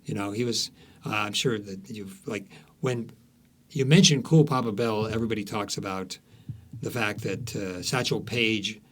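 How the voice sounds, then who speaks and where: A man's voice: lowish voice, moderate pace, fairly bright tone. A man speaking as an author being interviewed, telling stories conversationally with frequent false starts and repeated words.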